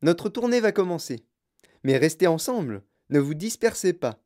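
A storyteller voicing a sprightly, mischievous old chocolatier with a clear, fluty voice, excitable and effusively polite, in an audiobook. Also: medium pitch, normal speed, pitched above the performer's own voice, natural voice. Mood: delighted. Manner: excitable, repeats himself in courteous bursts.

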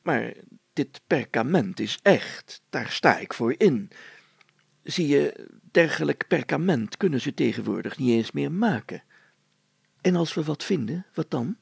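A man voicing a self-important village mayor in a story, halting and hemming, given to solemn declaiming. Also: mid-range voice, expressive, vocal fry, husky, dry recording, full character voice. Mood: assured, self-important. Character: pompous village mayor reading old documents aloud, self-important, deliberate.

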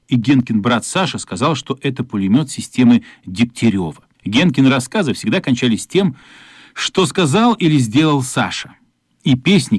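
An actor narrating a children's radio play as a thoughtful storyteller, in an expressive, lyrical literary manner. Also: male voice, mid-range voice, no echo, clean studio sound, close to an everyday voice.

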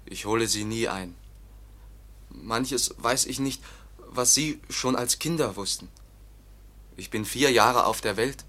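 Fearful, childlike young foundling, plaintive and anxious; mid-range voice; radio play. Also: male voice, medium pitch, slow pace, long pauses, pushed and bright. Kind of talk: radio drama acting.

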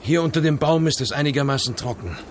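An actor playing an urgent, emotionally pressed kept lover and schemer in a German radio drama. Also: male voice, on the high side, quick pace, a little hiss or hum, natural voice. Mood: secretive. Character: desperate kept lover, pleading, turning resolute schemer.

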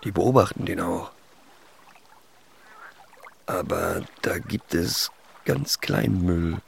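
A pensive, poetic teenage boy, very deep and creaky in voice. He speaks with understated hesitation in a radio drama, over a faint watery background. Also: slow, slight background noise, full character voice. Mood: musing. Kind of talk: naturalistic radio play dialogue.

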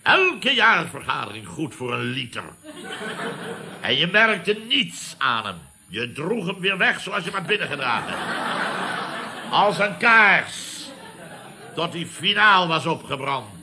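An actor playing a pompous, nostalgic company boss who orates emphatically, in a Dutch radio comedy serial. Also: male voice, on the high side, sing-song, takes it slowly, a crowd in the background, exaggerated voice. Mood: relishing nostalgia. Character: bombastic, nostalgic male boss of a building firm.

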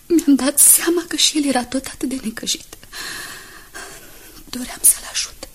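An actress in a Romanian radio drama playing a frail, anguished young woman who confesses her past haltingly and intimately. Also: high voice, natural voice. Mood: fearful, tearful. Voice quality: husky, breathy. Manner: halting, pained, intimate storytelling.

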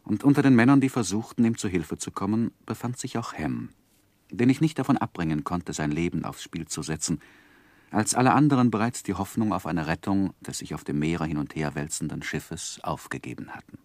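A deep-voiced, sorrowful gentleman looks back on his life. His delivery is measured and solemn, like literary radio drama, with a dry, close sound. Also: animated, no room sound. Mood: solemn grief. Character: grieving, reflective gentleman narrating his life story. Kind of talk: literary radio play narration.

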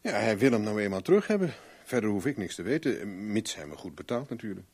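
An actor in a Dutch radio drama playing a wry, flirtatious private investigator with a glib, teasing manner. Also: male voice, fairly low pitch, very animated, fast, darkish tone, husky, full character voice. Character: wry, flirtatious, cool-headed male private investigator for hire.

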